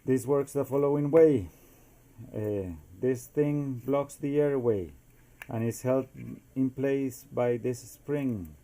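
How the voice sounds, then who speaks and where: A man's voice: mid-range voice, slow, dark tone. A man from Costa Rica with a Costa Rican Spanish accent gives an informal, homemade explainer in non-native English, often searching for words and slipping in Spanish fillers. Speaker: amateur inventor demonstrating a homemade device.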